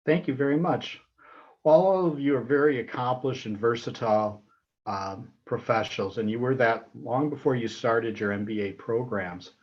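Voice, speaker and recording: Guy moderating an online panel, normal pitch, sound a bit dull. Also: moderate pace, a little mellow.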